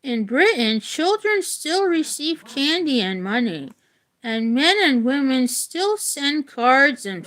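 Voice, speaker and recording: Female educator for children's read-aloud, mid-pitched, slow delivery, informal asides. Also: a bit bright, poor audio. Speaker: educator reading a book aloud.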